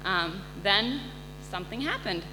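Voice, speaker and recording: A woman with a mid-pitched voice shares a personal testimony with an audience. She speaks earnestly and haltingly, pausing between phrases. The recording carries long hall reverb and a clear mains hum. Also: lively intonation. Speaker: missionary and incoming resident assistant. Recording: echoey hall, loud electrical hum.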